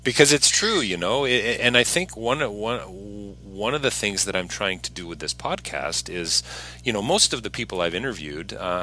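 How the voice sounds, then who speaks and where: Deep-voiced, bright male podcast interviewer. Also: slight background noise. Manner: earnest, encouraging. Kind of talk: casual conversational interview.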